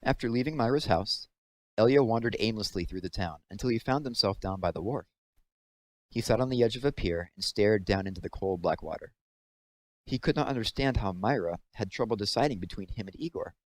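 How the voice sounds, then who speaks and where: A man's voice: medium pitch, low-quality recording. A man narrating a children's novel as a read-aloud, in scripted storytelling style.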